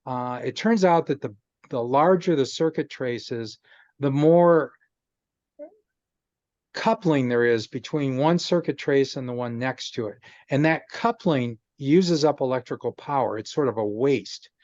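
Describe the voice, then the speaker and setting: A man's voice: mid-range voice, unhurried, a little mellow, no room sound, highs cut off. A man in a casual video call chat, explaining technical ideas patiently with lots of "um" and restarts. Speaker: guest explaining chip technology. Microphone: video call microphone.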